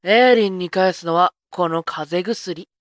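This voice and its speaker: A woman playing a flippant, self-serving young witch with rough, slangy speech in a comic anime voice drama. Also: lowish voice, a little slow, no echo, clean studio sound, close to an everyday voice. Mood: scornful.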